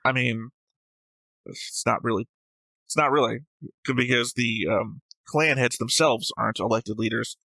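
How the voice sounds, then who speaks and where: Guy hosting a reaction show, sharp bright voice, dry recording, kinda argumentative and rambly, corrects himself. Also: mid-range voice, a little slow, gravelly, dry recording. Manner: argumentative, digressive, self-correcting. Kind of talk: casual discussion, reading out viewer comments.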